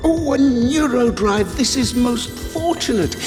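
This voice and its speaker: A man's voice: very high-pitched, lively intonation, measured pace. A man playing a clinical, self-absorbed research scientist in a video game, speaking formally and precisely. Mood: intrigued, clinical. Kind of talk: video game cutscene acting. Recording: music behind, long hall reverb.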